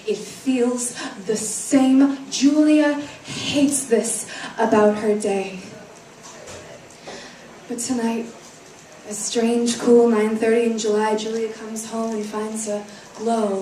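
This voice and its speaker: A woman's voice: a little high, mellow. Echoey, distant woman reciting slam poetry. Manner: dramatic, deliberate phrasing with drawn-out words. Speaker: spoken word poet. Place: echoey room, recorded at a distance. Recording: echoey room from far off, faint noise.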